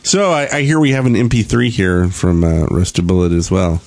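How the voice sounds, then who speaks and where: A man's voice: deep voice, moderate pace, vocal fry, darkish tone. A man hosting a gaming podcast in casual, conversational talk. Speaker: podcast host.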